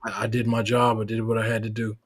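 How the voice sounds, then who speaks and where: A man who is a Marine veteran, a guest in a casual interview, speaking in a measured way with many pauses and restarts. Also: on the low side, monotone, breathy edge.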